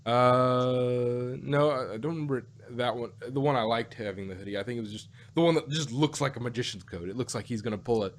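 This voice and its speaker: A man's voice: mid-range voice, a little fast, few pauses. A man chatting casually as a podcast host, hesitant and often repeating and rephrasing himself as he goes. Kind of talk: casual chat.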